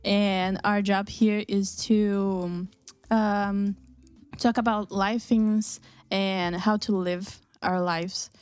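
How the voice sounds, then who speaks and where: A woman's voice: mid-range voice, level tone, very slow, a little mellow, smooth, no room sound. A woman from Brazil with a Brazilian Portuguese accent, hosting a casual faith radio chat, often laughing and restarting her sentences. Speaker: radio show host.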